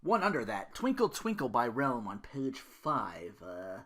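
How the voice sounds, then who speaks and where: Podcast host, bright voice, very lively pitch, expressive storytelling, reading aloud. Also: a little slow. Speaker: host and voice performer.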